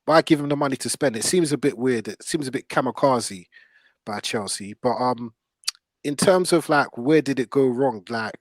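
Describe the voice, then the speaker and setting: Podcast host, male, mid-pitched voice, slangy football chat, dry recording. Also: dry recording. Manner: informal, slangy. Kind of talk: casual football discussion.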